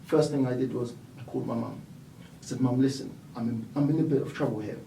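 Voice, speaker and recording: A man guesting on an interview show, telling stories with lively quoted voices, from the United Kingdom. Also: mid-range voice, quick pace, a little hiss or hum. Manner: animated storyteller, acts out quoted voices. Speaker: property investor and mentor. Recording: echoey room, mic at a distance.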